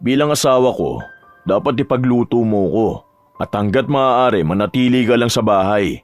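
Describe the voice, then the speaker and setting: A man playing a cool, demanding young billionaire groom in a romance audio drama, speaking in a measured, businesslike way. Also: mid-range voice, animated, no room sound, soft background music, close to an everyday voice.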